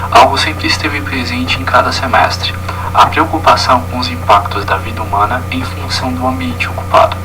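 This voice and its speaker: A young man with a Brazilian Portuguese accent narrates a prepared presentation in formal, read-out phrasing. His voice sits at a middle pitch, somewhat distant from the microphone. Music plays clearly behind him. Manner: formal, reading from a prepared text. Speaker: student presenter. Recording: distant mic with music underneath. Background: background music.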